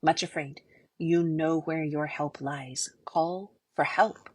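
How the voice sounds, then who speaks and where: A woman doing gentle, urgent female guides. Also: low voice, steady tone, breathy edge, no echo, studio quality, full character voice. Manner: gentle but urgent, slow. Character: two firm, gentle female guides urging.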